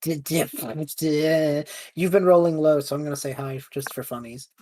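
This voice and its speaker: A woman's voice: low-pitched, fairly even intonation, normal speed, dark tone, slight background noise. A woman improvising casual tabletop roleplay in a rambling, hesitant, goofy manner. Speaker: tabletop player.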